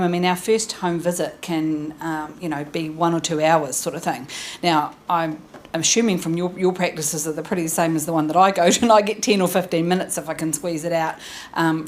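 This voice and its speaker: Chatty New Zealand woman, low voice. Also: steady tone, normal speed. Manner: chatty, self-deprecating and informal. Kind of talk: panel presentation. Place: conference room, audience present. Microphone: handheld mic.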